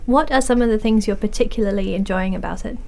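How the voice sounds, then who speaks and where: A woman's voice: mid-range voice, fast talker, vocal fry, darkish tone, a little hiss or hum. A woman hosting a friendly radio group interview, upbeat and encouraging. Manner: upbeat, encouraging, laughs easily. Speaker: radio host.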